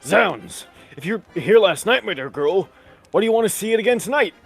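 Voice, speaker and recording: Gravelly, swooping male fussy, pompous gent, vintage radio comedy. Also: high voice, expressive, a little fast, fairly bright tone, faint music behind, exaggerated voice. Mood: astonished. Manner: pompous, exclamatory. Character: pompous, fussy old-fashioned gentleman companion. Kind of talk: 1940s radio acting.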